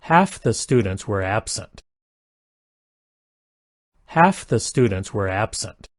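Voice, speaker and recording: A man reading simple English practice sentences aloud, each one twice, in a careful, even delivery. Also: on the low side, takes it slowly, dry recording, clean studio sound. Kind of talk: slow repeated sentence reading for learners.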